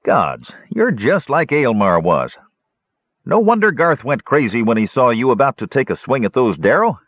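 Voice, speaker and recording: A man voicing a gray-haired old male dwarf innkeeper who talks gruff and blunt, pitched well above his own voice. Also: very animated, normal speed, dry recording. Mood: reminiscing. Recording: dull lo-fi dry recording.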